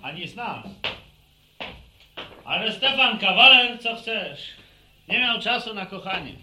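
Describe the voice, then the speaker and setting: A man's voice: high-pitched, lively intonation, a little slow, sharp tone, slight background noise, exaggerated voice. An actor playing a scheming, bossy young village man who cajoles and teases in colloquial rural speech in a radio drama. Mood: teasing. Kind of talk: Polish radio drama acting.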